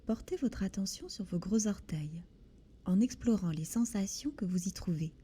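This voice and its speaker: Very soft, breathy woman guiding relaxation. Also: medium pitch, animated. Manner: soothing, gentle, deliberate pauses between short phrases. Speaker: guided relaxation instructor. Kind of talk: guided body scan meditation.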